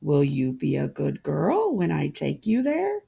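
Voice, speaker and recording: A woman's voice: sits low, huge pitch swings, measured pace, creaky, dark tone, slightly rough, muffled recording, voice pushed down, exaggerated voice. Woman as coaxing, kindly fairy godmother.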